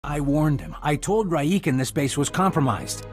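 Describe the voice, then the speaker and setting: An actor playing a bitter, stern acting bureau chief in a video game, curt and accusing. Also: male voice, a little high, expressive, moderate pace, close to an everyday voice. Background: music.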